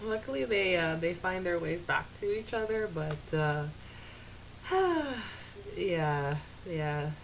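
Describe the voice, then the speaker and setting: A woman co-hosting a podcast in casual chat, with a halting delivery that often repeats phrases. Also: lowish voice, expressive, creaky, slight background noise, poor audio. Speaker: podcast co-host. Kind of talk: reading out quotes and listener comments.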